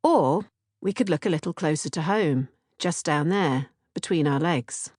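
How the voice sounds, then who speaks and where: A woman's voice: low voice, animated, measured pace, a little mellow, no echo, clean studio sound. A woman narrating an audiobook from a script. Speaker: author-narrator reading audiobook, podcast co-host.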